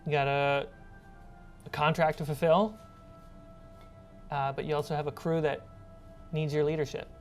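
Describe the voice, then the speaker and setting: A woman's voice: low voice, level tone, moderate pace, pauses often. A woman running a tabletop role-playing game as game master, narrating scenes and answering players. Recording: background music.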